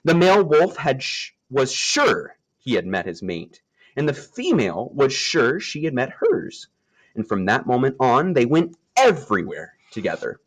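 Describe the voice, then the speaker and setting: A man reading a children's nature story aloud, with expressive storytelling delivery and deliberate phrasing. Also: mid-range voice. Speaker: storybook reader.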